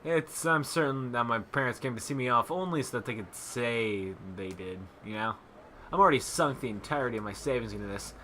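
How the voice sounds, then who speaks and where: A man's voice: medium pitch, a little fast, bright, forceful tone, a little hiss or hum. A young man hosting a gaming channel, reading game text aloud and breaking off into jokey casual chat.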